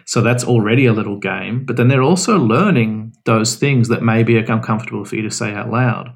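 A man hosting a podcast, giving frank advice in relaxed casual chat with fillers. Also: on the low side, brisk, vocal fry. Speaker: podcast host.

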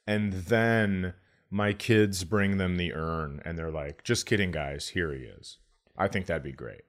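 A middle-aged man with a low-pitched voice, talking like a comedian on a podcast. He spins joking stories in a casual, deadpan way. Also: a little slow.

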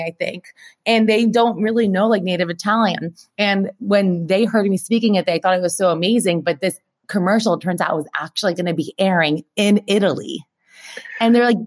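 A woman with a medium-pitched voice co-hosts a casual podcast. She talks in an animated, very informal style, like someone telling stories to a close friend, and she swears freely. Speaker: podcast co-host. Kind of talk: casual chat and storytelling.

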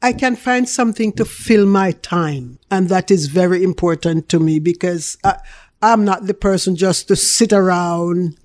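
A woman's voice: lowish voice, measured pace, darkish tone, no echo. An older woman from Jamaica with a Jamaican accent, chatting casually as an interview guest. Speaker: interview guest, small food business owner.

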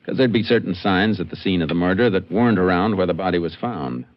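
An actor playing a stern, level-headed Texas Ranger and narrator in a 1950s radio drama, terse and measured, with an American accent. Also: male voice, deep voice, no echo, natural voice. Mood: firm, skeptical. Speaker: lead character and narrator. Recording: dull lo-fi 1950s radio recording.

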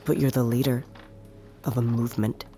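Low-voiced, blunt skeptical woman. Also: steady tone, normal speed, mellow, faint music behind. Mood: wary, skeptical. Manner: blunt, casual, challenging. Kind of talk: audio drama acting.

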